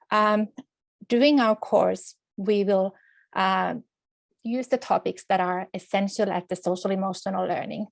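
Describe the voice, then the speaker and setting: A woman's voice: medium pitch, creaky, no room sound. A woman from Finland, not an English speaker, giving an earnest, careful talk as a guest speaker. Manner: earnest, careful, slightly formal phrasing. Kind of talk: online presentation.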